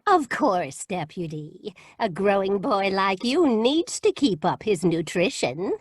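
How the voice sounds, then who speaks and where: A woman plays a hospitable, kindly farm wife in a radio play. She speaks slowly in a husky voice with lively rises and falls. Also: medium pitch, no echo, studio quality. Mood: kindly, concerned. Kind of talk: old-time radio drama acting.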